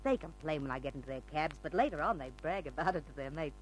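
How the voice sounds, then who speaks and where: A woman's voice: low-pitched, expressive, fast, a little hiss or hum, rough recording. Brash, theatrical eccentric woman, swooping voice. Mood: amused, confiding. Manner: slangy, bold, theatrical. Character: brash eccentric older woman, street character secretly shrewd and refined. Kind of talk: old-time radio drama acting. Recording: old radio recording with music.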